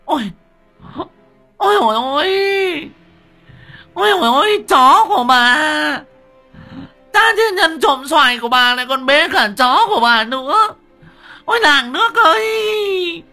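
Guy doing a screechy angry village lady, super high voice, bright and piercing, dragged-out yells. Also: expressive, a little slow, pauses often, soft background music, heavily acted. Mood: shrieking wail. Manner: screeching, wailing. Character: shrieking angry village woman, big and fierce.

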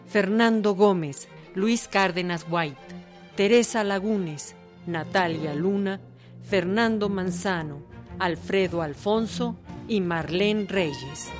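A woman's voice: medium pitch, slow pace, over music. A woman announcer reading scripted cast credits for a radio production.

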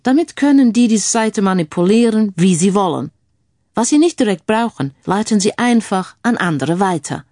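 A man's voice: sits high, animated, normal speed, mellow, dry recording, clean studio sound, voice pushed up. A woman voicing a confident, analytical male computer sleuth who drops English phrases into his explanations in an audiobook. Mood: smug, explaining. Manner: peppers speech with English phrases.

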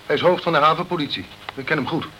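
A sceptical, blustering British consul, noisy recording. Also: male voice, a little high, very fast, pushed and bright. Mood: dismissive. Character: sceptical, self-protective British consul in the French Antilles. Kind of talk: crime radio play acting.

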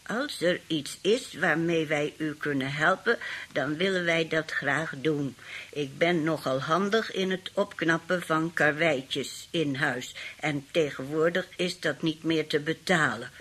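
Husky, scornful old spinster great-aunt. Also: female voice, deep voice, a bit bright, heavily acted. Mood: suspicious, scornful. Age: older. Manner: indignant, grumbling, outspoken. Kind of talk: radio play acting.

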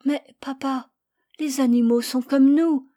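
A woman's voice: sits high, steady tone, slow, mellow, voice pushed up. A woman voicing a tender-hearted, stubborn little boy who asks earnest, pleading questions.

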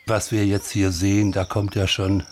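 A man giving unscripted, anecdotal commentary on a film in a halting, musing, wry manner. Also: lowish voice, little pitch movement, a little hiss or hum.

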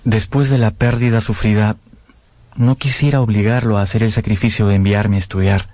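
An actor playing an earnest, lovestruck young man who narrates his past in a measured, literary radio drama style. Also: on the low side, fairly even intonation, darkish tone, dry recording, natural voice. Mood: grave, respectful. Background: music.

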